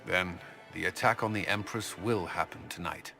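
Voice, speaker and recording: An actor playing a stern, practical military commander in a video game, firm and blunt. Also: male voice, low voice, normal speed, creaky, pushed and bright, faint music behind, heavily acted. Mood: firm, wary. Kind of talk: video game dialogue acting.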